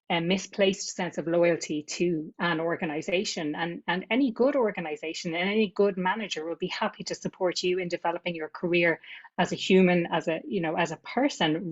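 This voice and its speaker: A woman's voice: on the low side, lo-fi sound. A woman, a podcast guest talking reflectively, often repeating words as she gathers her thoughts. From Ireland. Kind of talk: conversational podcast interview.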